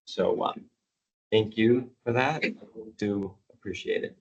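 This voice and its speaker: A man speaking as a director at a public board meeting, measured and pausing with fillers. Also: vocal fry. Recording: dull low-fidelity meeting audio.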